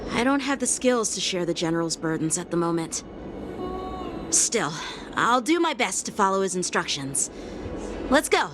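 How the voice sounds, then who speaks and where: A woman's voice: mid-range voice, big pitch swings, moderate pace, background noise, natural voice. Earnest, polite young boy voiced by a woman. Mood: humbled, resolute. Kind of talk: English video game voice acting. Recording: loud background music under the dialogue. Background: game music.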